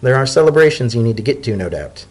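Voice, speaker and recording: A man voicing an elderly female zebra scholar-diplomat in a storybook reading, genteel, shrewd and indulgent, with measured, formal, gently wry speech. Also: medium pitch, sing-song, breathy edge. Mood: warm, satisfied.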